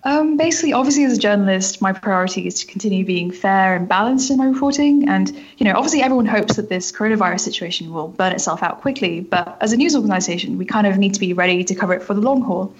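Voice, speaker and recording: A husky-voiced woman gives explanations as a journalist guest. Her phrasing is loose, full of hedges and fillers, at a medium pitch. The recording sounds dull and lo-fi. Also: fast talker, hardly any pauses. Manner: hedging, filler-heavy explanations. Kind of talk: interview explanation. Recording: dull, lo-fi audio.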